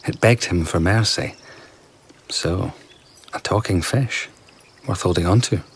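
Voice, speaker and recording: An actor playing an enigmatic, flirtatious traveller in a radio drama, telling tales in an unhurried, playful way. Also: male voice, low voice, husky. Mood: playful, storytelling. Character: enigmatic, charming, flirtatious well-travelled male storyteller of uncertain age. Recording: outdoor garden ambience with insect chirps.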